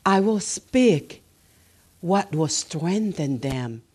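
A woman's voice: lowish voice, lively intonation, extremely slow, darkish tone, breathy edge. A woman, the pastor's wife, teaching beside him in an earnest, exhorting way. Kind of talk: teaching sermon.

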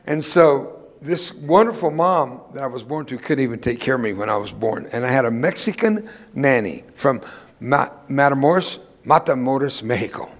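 A man's voice: mid-range voice, animated, moderate pace, big-room sound. An older man whose first language was Spanish, preaching a folksy sermon with humorous personal stories in a church. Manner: humorous storytelling, emphatic preaching. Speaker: guest preacher. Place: church sanctuary.

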